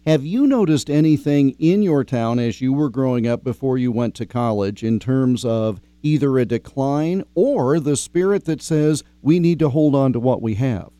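A man hosting a public radio interview program, speaking in a measured, conversational way. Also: medium pitch, lively intonation, warm tone, no echo. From Iowa.

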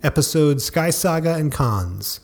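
A man co-hosting a casual podcast, with a gravelly voice, playful and laughing as he reads things aloud. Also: fairly high pitch, expressive, unhurried. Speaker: podcast co-host. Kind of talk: casual chat.

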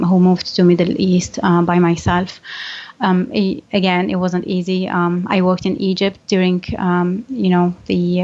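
A woman's voice: fairly low pitch, darkish tone, lo-fi sound. A woman from Algeria answering interview questions earnestly, with frequent "um" fillers and restarts. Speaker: guest interviewee, finance professional.

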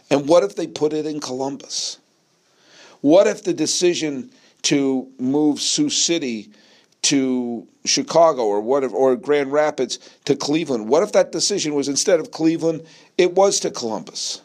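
An American man from New England giving an opinionated solo sports monologue, speaking emphatically and repeating phrases for effect. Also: fairly high pitch. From New England, United States. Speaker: sports podcast host.

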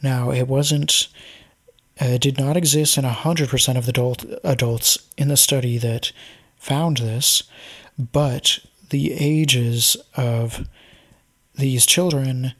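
A man giving an unscripted explanatory monologue, speaking in a thoughtful, speculative way with frequent pauses and ums. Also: medium pitch, steady tone.

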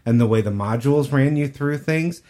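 Male co-host, average pitch, conversational, musing and explanatory. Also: a little fast, dark tone. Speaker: podcast co-host. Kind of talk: casual panel discussion.

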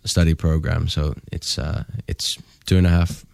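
A man's voice: very deep voice, flat delivery, moderate pace, vocal fry, no echo. A young man from Finland, a guest musician giving short, easygoing answers in a casual interview. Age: young adult.

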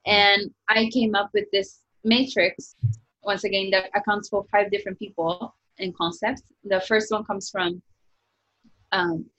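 A chatty woman from Japan speaks English with a Japanese accent, her pitch sitting mid-range for a woman. She talks lively and loose, with plenty of "like" fillers. The audio is dull and lo-fi. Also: a little slow. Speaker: author guest. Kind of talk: casual interview talk.

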